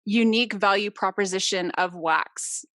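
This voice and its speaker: A woman's voice: mid-range voice, normal speed, fairly bright tone, dry recording. Give me a woman hosting an interview, upbeat and encouraging, with a giggly edge to her questions. Her voice sits mid-range, and the sound is dry and close. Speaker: interviewer, host. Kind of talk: casual interview.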